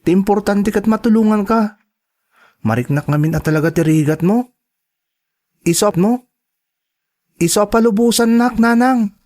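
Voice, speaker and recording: An actor with a Filipino accent playing an earnest, determined young college student in a radio drama, with an imploring manner. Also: male voice, sits high, normal speed, long pauses, warm tone, natural voice. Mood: pleading. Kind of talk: Ilocano radio-style drama acting.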